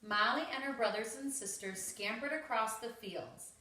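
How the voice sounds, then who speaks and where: A woman's voice: on the high side, moderate pace, bright, forceful tone, a little hiss or hum. A woman reading a children's story aloud, expressively and with dramatic emphasis. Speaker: storybook reader. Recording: roomy, echoing home recording.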